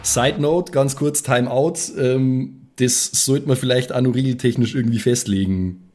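A man with a medium-pitched voice talks casually as a tabletop gamer. He works through moves and rules in improvised speech, over background music. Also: steady tone, measured pace, a little mellow. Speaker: player in a tabletop role-playing game. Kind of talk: casual improvised game chat, rules talk.

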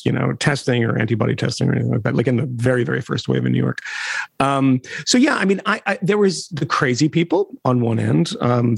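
A middle-aged man, a reporter guest on a podcast, talking in an animated way with wry asides and chuckles. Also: medium pitch, gravelly, husky, no room sound. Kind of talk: conversational interview.

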